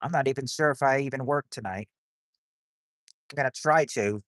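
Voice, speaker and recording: A man's voice: mid-range voice, moderate pace, a bit bright, no room sound, studio quality. A man hosting a livestream of a quiz game, rambling as he reads chat and greets viewers.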